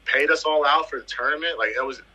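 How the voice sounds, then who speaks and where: Bright husky-voiced man from the Virgin Islands. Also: a little high, quick pace, poor audio. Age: 30s. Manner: animated, emphatic, repeats phrases for effect. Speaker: footballer guest. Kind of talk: casual interview storytelling.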